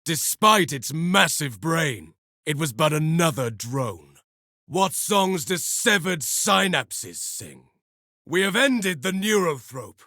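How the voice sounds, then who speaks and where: An actor playing an eloquent, grimly disdainful space marine with a grandiose manner in a video game. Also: male voice, on the high side, sing-song, takes it slowly, pushed and bright, no room sound, studio quality, exaggerated voice. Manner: grandiose, ornate phrasing. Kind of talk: video game combat voice lines.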